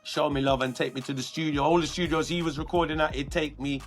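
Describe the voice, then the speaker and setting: A man with a London accent, a guest artist talking earnestly through his career in a relaxed interview. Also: fairly high pitch, fast talker, soft background music. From London, England. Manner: earnest, reflective, many restarts.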